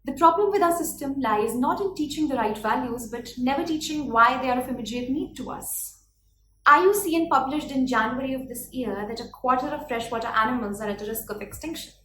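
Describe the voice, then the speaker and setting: A woman's voice: high-pitched, brisk, sharp tone. A woman of twenty with an Indian accent, delivering a prepared, earnest speech full of rhetorical questions. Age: 20s. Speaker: speaker. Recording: echoey room, faint background noise.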